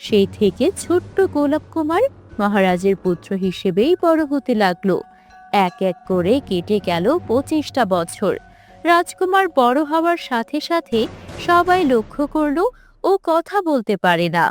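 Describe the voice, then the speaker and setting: A woman with a fairly high voice narrates a scripted folk tale. Music plays underneath her storytelling. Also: very animated, unhurried.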